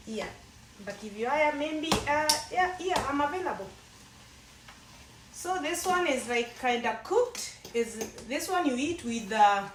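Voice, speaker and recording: A Kenyan woman talks her way through a home-cooked meal, chatty and wandering, often starting a thought over. Her voice sits high. The kitchen rings around her and she sounds a little way off from the mic. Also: background noise. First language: Kenyan mother tongue. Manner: chatty, rambling, with frequent restarts. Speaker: cooking vlogger. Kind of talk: casual cooking demonstration. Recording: echoey room, mic at a distance.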